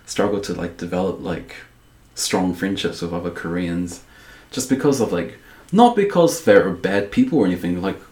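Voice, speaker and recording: Young kiwi guy with a deep voice, rambles a lot, says like constantly, echoey room. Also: lively intonation, normal speed, darkish tone. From New Zealand. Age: young adult. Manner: rambling, heavy use of "like", many restarts. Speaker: guest. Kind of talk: casual podcast chat.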